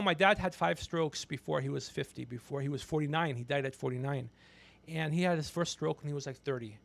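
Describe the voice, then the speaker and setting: A man with a notably high voice and a lot of gravelly creak in it. He hosts a casual livestream, needling and swearing freely with an excitable delivery. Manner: teasing, needling, profane. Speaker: podcast host.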